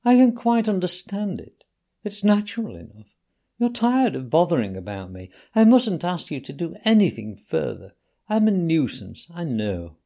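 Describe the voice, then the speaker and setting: A vain toad sighs out his words in a feeble, martyred voice, as a man puts him on. His pitch climbs high and swings about as he lays on the self-pity. It is a storybook reading. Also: wildly swooping pitch, normal speed, creaky, dark tone, exaggerated voice. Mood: languid. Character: vain self-pitying male toad feigning illness.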